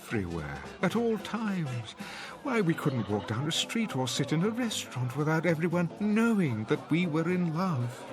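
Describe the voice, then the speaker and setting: An actor playing a bewildered, anguished older man in a radio drama, with a halting, pleading, theatrical delivery. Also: fairly high pitch, very animated. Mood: wounded, pleading. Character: anguished, bewildered older gentleman lost among his past. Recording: music and crowd chatter behind.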